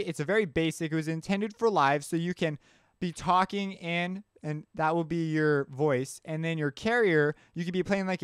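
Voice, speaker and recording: A man's voice: a little high, smooth, dry recording, studio quality. A man giving a casual, informal tutorial, explaining things as he thinks aloud. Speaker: music production tutorial instructor. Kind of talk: casual explanatory screencast narration.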